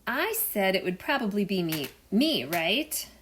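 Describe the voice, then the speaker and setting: A woman's voice: medium pitch, big pitch swings, unhurried, a bit bright, slight background noise. A woman voicing a pushy pufferfish in a read-aloud storybook. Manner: pushy, boastful.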